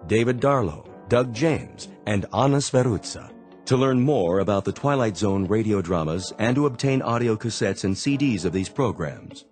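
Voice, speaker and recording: A man's voice: lowish voice, darkish tone. A man reading credits as an announcer, plain and businesslike.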